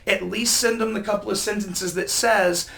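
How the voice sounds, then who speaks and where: Higher-pitched man, emphatic and instructive. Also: fairly even intonation, normal speed, creaky, fairly bright tone. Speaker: guest expert giving business tips. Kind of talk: explanatory discussion of communication tips.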